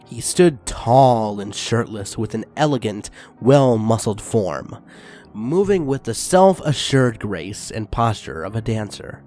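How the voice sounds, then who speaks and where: A man narrating a fan fiction story aloud in a steady, expressive reading voice. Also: fairly low pitch, slow, faint music behind.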